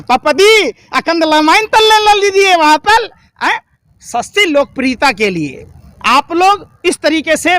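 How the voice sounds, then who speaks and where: A man's voice: extremely high pitch, fairly bright tone. A man speaking in a heated political argument, combative and indignant, with emphatic repetition and rhetorical questions.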